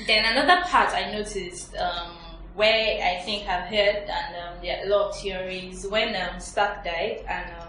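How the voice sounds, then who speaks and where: A woman's voice: mid-range voice, fairly even intonation, measured pace, hardly any pauses, sharp tone. A woman on a casual review panel, firm and argumentative, reasoning her way step by step. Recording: echoey room with faint noise.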